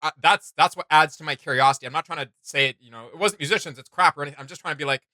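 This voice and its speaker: A man's voice: on the high side, racing, sharp tone, dry recording. A man in his thirties co-hosting a reaction show, chatting casually in a rambling, enthusiastic way.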